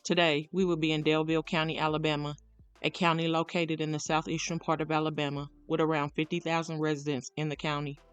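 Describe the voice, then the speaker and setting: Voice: mid-range voice, level tone, quick pace, clear, resonant tone, faint music behind. A narrator reading a scripted true crime documentary account.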